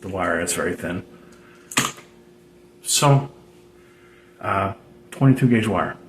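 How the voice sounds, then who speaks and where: A man's voice: sits low, lively intonation, takes it slowly. A man giving an unscripted, casual how-to explanation as a hobbyist, in a hesitant, rambling way with repeated phrases. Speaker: DIY radio hobbyist presenting a tutorial. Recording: roomy echo with background noise.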